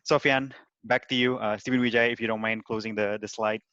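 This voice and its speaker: A man's voice: lowish voice, fairly even intonation, fairly quick, sharp tone, no echo. A man moderating an online panel. He is hesitant, with many "uh"s and restarted phrases. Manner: hesitant, many "uh"s and repeated starts. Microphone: video call. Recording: dry, dull lo-fi audio.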